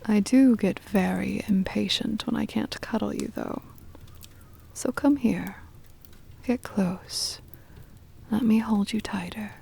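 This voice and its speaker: A woman playing a possessive, doting centuries-old vampire mistress in an intimate, breathy whispered ASMR roleplay, coaxing and tender with a menacing edge. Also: medium pitch, mellow, full character voice. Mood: playful, possessive. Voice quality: breathy whisper with creaky edges. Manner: slow, coaxing, possessive endearments.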